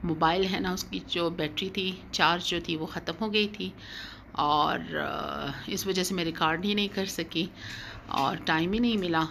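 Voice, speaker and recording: A woman's voice: on the low side, measured pace, a bit bright. A middle-aged woman narrating a casual home vlog in a hesitant way, often repeating her phrases. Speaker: vlogger. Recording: clear background noise, home ambience.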